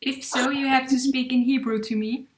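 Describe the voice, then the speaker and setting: A woman's voice: sits high, fairly even intonation, normal speed. A woman co-hosting a casual interview who asks her questions in a cheerful, playful way. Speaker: co-host. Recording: echoey room.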